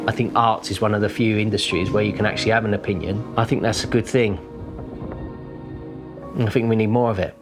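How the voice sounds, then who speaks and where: A man's voice: on the low side, fairly even intonation, brisk, long pauses, over music. A man with a London accent, a painter speaking reflectively in a documentary interview, informal and thoughtful. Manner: thoughtful, informal, with many "kind of" fillers. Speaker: working-class painter.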